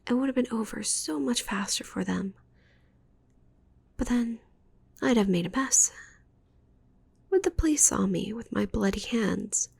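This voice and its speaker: A woman's voice: on the high side, lively intonation, vocal fry, darkish tone, slightly rough, exaggerated voice. A woman playing a possessive, doting yandere witch in an ASMR roleplay, whispering breathily and intimately with sultry, gloating teasing. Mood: gloating, hushed. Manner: slow, intimate, teasing delivery.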